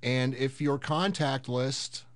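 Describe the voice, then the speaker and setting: A man hosting a casual software tutorial, explaining things step by step in an unhurried way. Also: medium pitch. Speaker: host of a tech tutorial series.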